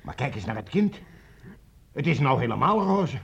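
An actor playing an earnest, unsettled army captain in a radio play, husky and easily awed. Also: male voice, mid-range voice, sing-song, moderate pace, fairly bright tone, dull audio, full character voice. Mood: awestruck. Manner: earnest, dramatic.

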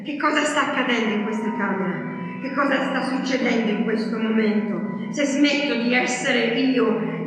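An actress playing an anguished wartime woman in an intense, halting theatrical diary monologue, repeating her phrases. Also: medium pitch, a bit bright, recorded from a distance. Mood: drained, subdued. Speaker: stage actress. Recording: echoey hall from afar, music over it. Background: music.

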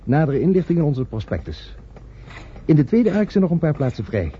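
An actor playing a brisk, grudging ticket salesman in a radio play. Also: fairly high pitch, big pitch swings. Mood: businesslike. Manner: businesslike, salesman-like. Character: brisk, grudging ticket seller for rescue arks. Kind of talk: Dutch radio play acting. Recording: dull old recording, faint noise.

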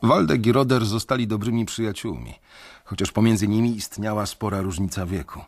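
A disillusioned young bank analyst recalls his story in a measured, reflective radio drama narration. His voice sits low, with a husky, breathy texture. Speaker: first-person narrator.

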